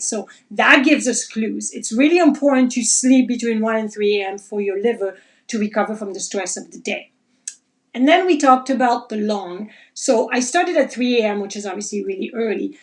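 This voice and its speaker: A woman with a fairly high voice teaches a live online class on Chinese medicine. She talks casually and with energy, chatting to her viewers and reading out their comments as she goes. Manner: lively, animated, reads viewer comments aloud. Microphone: livestream.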